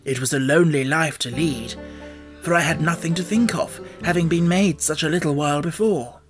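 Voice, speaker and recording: A man's voice: a little high, moderate pace, music in the background, lightly acted. A man voicing an earnest, simple-hearted scarecrow made of straw, telling things in a storybook reading. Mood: forlorn.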